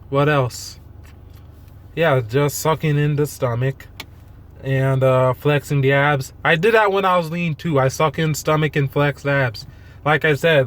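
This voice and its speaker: A man with a slightly high voice talks off the cuff to his followers. His delivery is monotone and halting, with thoughts loosely strung together. He is blunt, self-deprecating and casual about swearing. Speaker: fitness vlogger. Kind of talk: unscripted rant to followers.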